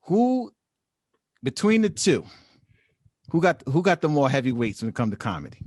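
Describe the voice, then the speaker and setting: A man's voice: a little high, very animated, normal speed, darkish tone, no echo, clean studio sound. A man from New York with a New York accent, hosting a casual podcast interview with frequent agreement and laughs. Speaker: podcast host.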